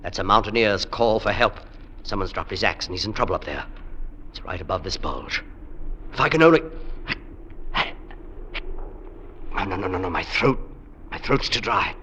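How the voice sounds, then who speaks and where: Earnest radio-drama mountaineer, swinging pitch. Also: male voice, animated, sharp tone. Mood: desperate, exhausted. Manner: halting, breathy exertion and hesitant stammers. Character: earnest ailing male mountaineer, reassuring but self-doubting. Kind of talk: 1940s-50s radio drama acting. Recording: noisy vintage radio recording.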